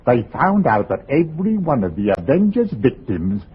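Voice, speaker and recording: An actor playing an eager, chatty working-class husband, speaking colloquially in 1940s radio drama style. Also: male voice, mid-range voice, very animated, moderate pace, lightly acted. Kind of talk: 1940s radio suspense acting. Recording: muffled, noisy 1940s radio recording.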